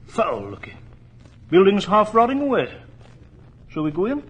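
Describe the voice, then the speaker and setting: Anxious detective's sidekick, very husky highish voice, earnest, old radio play. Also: male voice, wildly swooping pitch, moderate pace, full character voice. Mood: uneasy. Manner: earnest, questioning. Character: anxious, loyal gentleman companion to a detective. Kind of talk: 1940s radio acting. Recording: old 1940s radio recording.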